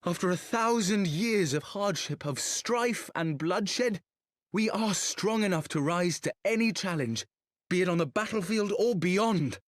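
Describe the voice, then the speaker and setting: Earnest, hopeful young nobleman, higher voice. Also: lively intonation, unhurried, dry recording, clean studio sound. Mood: proud, stirring. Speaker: voice actor in a fantasy video game. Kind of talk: cinematic game cutscene acting.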